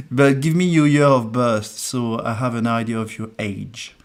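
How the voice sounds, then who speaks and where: A man hosting a casual live numerology stream, soft-spoken and hesitant as he thinks aloud. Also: medium pitch, lively intonation, slow. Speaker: numerologist host.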